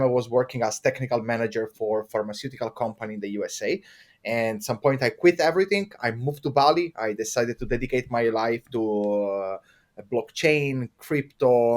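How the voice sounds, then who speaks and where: A man's voice: medium pitch, a little mellow. Italian-accented man, eager and chatty. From Italy. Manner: enthusiastic, frequent "uh" pauses. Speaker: podcast guest. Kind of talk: casual interview talk.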